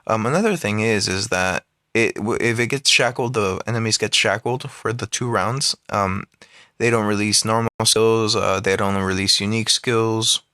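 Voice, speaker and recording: A man's voice: on the low side, moderate pace, sharp tone, clean tone, no room sound, clean studio sound. A man giving casual commentary on a mobile game, rambling with many fillers and restarts, recorded on a phone.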